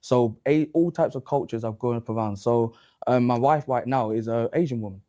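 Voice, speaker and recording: A man's voice: medium pitch, a little fast, dark tone. A man with a London accent, a guest on a panel discussion, talking earnestly and pressing to finish his point. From England.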